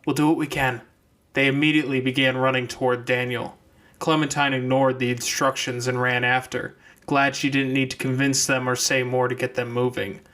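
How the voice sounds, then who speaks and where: A man's voice: mid-range voice, little pitch movement, normal speed, a bit bright. A man narrating scripted fiction as an audiobook reader.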